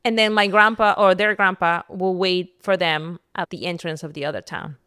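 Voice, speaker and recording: Smooth-voiced woman, lower pitched. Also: steady tone, moderate pace, bright, forceful tone, no room sound, studio quality. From Peru. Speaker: podcast guest, entrepreneur and content creator. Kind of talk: personal storytelling in casual conversation.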